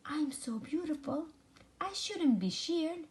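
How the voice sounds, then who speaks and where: A woman's voice: high voice, expressive, slow, a little mellow, voice pushed up. A woman voicing a vain, sneaky male sheep from a storybook, with sing-song, self-admiring lines. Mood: smug, dreamy.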